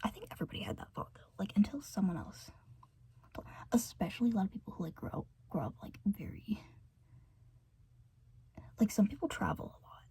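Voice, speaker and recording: A woman's voice: medium pitch, sing-song, brisk, long pauses, husky. An American woman whispering through a rambling, filler-filled ASMR chat, trailing off and giggling between thoughts. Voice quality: soft unvoiced whisper. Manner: meandering, filler-heavy, giggly chatter. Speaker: ASMR creator.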